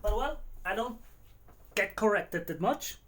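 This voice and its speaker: A man's voice: high-pitched, expressive, unhurried, a bit bright. A man with an Austrian German accent, chatting casually on a cooking livestream, rambling and repeating words as he talks to himself. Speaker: livestreaming home cook.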